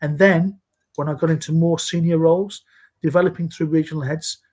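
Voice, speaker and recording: A man in his sixties from Scotland, speaking in a measured, earnest way as a guest in a live video-call interview. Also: fairly high pitch, fairly even intonation, darkish tone. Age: 60s. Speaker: interview guest, safety leader.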